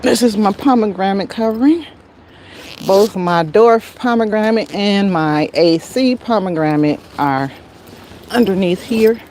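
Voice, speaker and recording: Slow-talking American woman, outdoors. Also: mid-range voice, darkish tone. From United States. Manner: folksy, talks to herself and her plants. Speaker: garden vlogger, livestream host. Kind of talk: casual livestream chat while gardening. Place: outdoors in a garden. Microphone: handheld phone. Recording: noisy outdoor phone recording.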